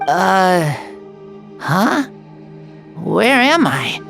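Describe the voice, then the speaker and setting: Frail little kid in a video game, slow and stammery, super expressive, music playing. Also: female voice, mid-range voice, creaky, exaggerated voice. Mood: dazed, hesitant. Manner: hesitant, stammering. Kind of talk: video game character acting.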